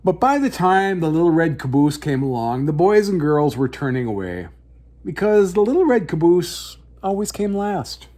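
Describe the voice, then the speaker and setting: A man reads a children's picture book aloud as the host of story time. His voice is slightly high and very animated, with dramatic, drawn-out phrasing aimed at young listeners.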